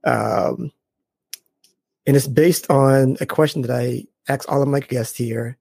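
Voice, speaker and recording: Gravelly-voiced enthusiastic podcast host man. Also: medium pitch, fairly even intonation, takes it slowly, darkish tone, no room sound. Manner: upbeat, enthusiastic, repeats praise. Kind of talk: casual interview chat.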